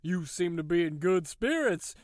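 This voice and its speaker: A man's voice: sits high, animated, moderate pace, no echo, clean studio sound. A man playing a smug, sinister prison master in an audio drama, theatrical and mock-pleasant with menace underneath. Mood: mock cheerful.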